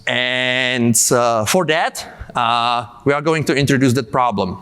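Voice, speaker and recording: A man's voice: medium pitch, slow, a bit bright, clear, resonant tone. Czech-born man giving a casual tech talk. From Czech Republic. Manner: casual, many fillers and asides. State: has a cold. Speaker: conference speaker.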